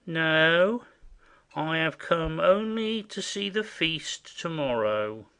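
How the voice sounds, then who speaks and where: Stage comedy audioplay: male actor as gullible rustic go-between, very animated, slow and halting, forever confiding secrets he should keep. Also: a little high, clear, resonant tone, full character voice. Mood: wary. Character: simple, indiscreet rustic male messenger, conspiratorial and chatty.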